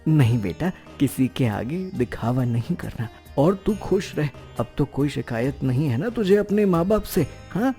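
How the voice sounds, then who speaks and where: A man's voice: fairly high pitch, very animated, unhurried, few pauses, warm tone, soft background music. A man voicing a poor, humble and polite working father in a cartoon moral story. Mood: gentle.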